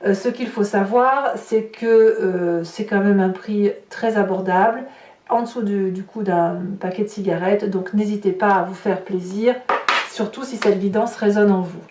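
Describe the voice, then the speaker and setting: A woman's voice: medium pitch, fairly even intonation, moderate pace, darkish tone, a little hiss or hum. Female tarot host, improvised guidance delivery, distant echoey recording. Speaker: tarot reader, host of horoscope guidance videos. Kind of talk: unscripted card reading addressed to viewers. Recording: roomy echo, distant microphone.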